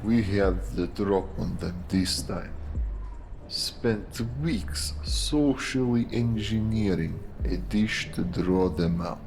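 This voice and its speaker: A man performs a grim police detective from a noir thriller, speaking very slowly in a lowish voice. He is sly and theatrical, letting insinuations hang. Clear music plays behind him. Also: a little mellow, exaggerated voice, poor audio. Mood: ominous. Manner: slow, deliberate, sly, theatrical. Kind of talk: noir audio drama acting.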